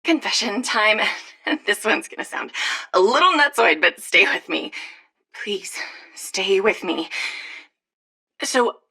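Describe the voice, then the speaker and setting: Unhinged mutating woman, husky, bright tense edge, halting, defensive, confessional game audio log. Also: mid-range voice, animated, heavily acted. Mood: nervous, defensive. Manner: jittery, halting, confiding, with defensive outbursts.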